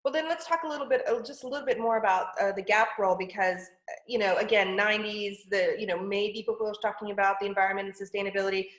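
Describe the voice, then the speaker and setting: A woman's voice: mid-range voice, steady tone, fast talker, roomy sound. A woman from California hosting a podcast interview, asking questions with eager curiosity. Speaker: podcast host.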